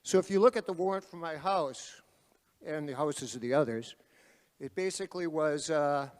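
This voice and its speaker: A man moderating a panel at an activist conference, speaking loudly and calling out to the crowd like a rally speaker. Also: moderate pace. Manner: loud, booming, calls out to the crowd. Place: large conference hall. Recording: reverberant hall.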